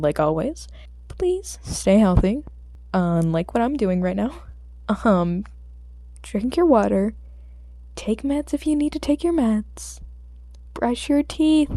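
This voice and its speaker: Female hobby audiobook reader, relaxed dark tone, sore throat, informal delivery, close dry sound. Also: medium pitch, no echo. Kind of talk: story narration and casual chat with listeners.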